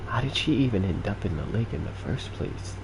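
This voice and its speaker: A man giving casual, low-key gameplay commentary, muttering offhand reactions. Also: animated, a little fast, background noise. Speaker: gamer.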